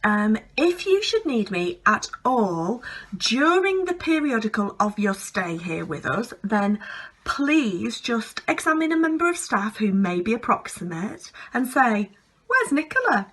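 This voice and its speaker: A woman playing a pompous, self-important female health spa manager in a comic monologue, speaking slowly and deliberately. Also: on the high side, sing-song, fairly bright tone, husky, full character voice. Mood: officious, chirpy. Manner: pompous, slow and deliberate, malapropism-prone.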